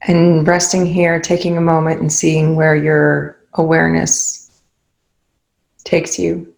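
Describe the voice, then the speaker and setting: A woman leading a guided meditation, speaking calmly and without hurry, leaving long pauses between phrases. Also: low-pitched, gravelly, low-quality recording. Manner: calm, unhurried, with long pauses. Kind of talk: guided meditation, spoken softly to listeners.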